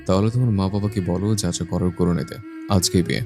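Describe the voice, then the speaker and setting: A man's voice: low-pitched, fairly even intonation, brisk, warm tone. A man playing a self-assured, blunt older brother in a romantic audio story. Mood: stern, commanding. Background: background music.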